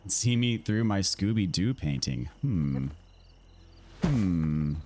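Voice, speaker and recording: An American man with a fairly low voice, slightly raspy from a scratchy throat. He talks as a game streamer in a laid-back, friendly way, casually answering his viewers as he plays. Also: animated, slow pace, mellow. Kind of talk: casual livestream chat answering viewers while gaming.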